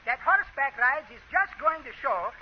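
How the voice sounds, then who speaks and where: A jovial immigrant man in an old radio comedy. He declaims proudly in a very high voice with mangled English. The recording is muffled and slightly noisy. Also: animated, normal speed. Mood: proud, declamatory. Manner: florid, declamatory, malapropism-prone. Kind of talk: 1930s radio comedy acting.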